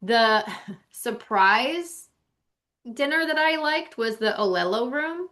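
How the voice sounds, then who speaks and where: Woman podcast cohost, really bright voice, chatty and informal, giving opinions on travel stuff. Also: fairly high pitch, lively intonation, slow pace. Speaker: podcast co-host. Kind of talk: casual podcast chat about travel.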